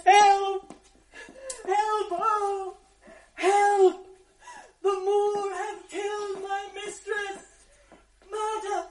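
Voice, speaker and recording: A fierce, outraged lady's maid in a radio play. She speaks high and slow, flinging accusations and cries. The voice rises sharp against the men around her. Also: little pitch movement, heavily acted, poor audio. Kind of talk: 1980s radio drama acting.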